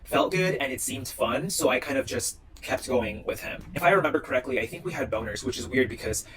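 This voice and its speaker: A man's voice: medium pitch, fairly quick. Guy from indonesia rambling casually to camera, lots of "like", bit of background hiss. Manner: chatty, heavy use of "like". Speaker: social media creator. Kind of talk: casual talking-to-camera clips.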